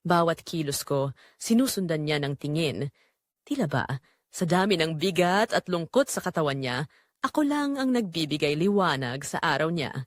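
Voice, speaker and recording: A woman's voice: low voice, very animated, moderate pace, dry recording, studio quality. A woman with a Filipino accent narrating a scripted first-person drama as an earnest young caregiver confessing her inner turmoil.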